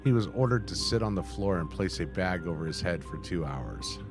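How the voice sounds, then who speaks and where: A man with a deep voice reads text aloud for a reaction video. He halts and repeats phrases, dropping in casual remarks. Music plays clearly behind him. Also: animated, brisk. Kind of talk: reading on-screen text aloud with casual commentary.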